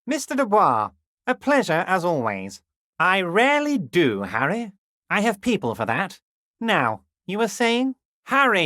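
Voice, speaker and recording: Scheming, chuckling middle-aged union boss, folksy and condescending, with a lively swooping delivery and bone-dry studio sound. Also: male voice, sits high, no room sound, full character voice. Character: smooth, scheming, jovial male union boss. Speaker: video game character. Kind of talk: game dialogue voice acting.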